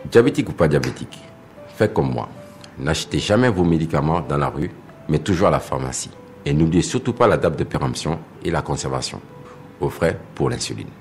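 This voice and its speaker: A man's voice: a bass voice, very animated, fairly quick, faint music behind, exaggerated voice. A man playing an earnest, disciplined patient in an educational cartoon, explaining things didactically to viewers. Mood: advising. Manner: didactic, clearly addressing the audience.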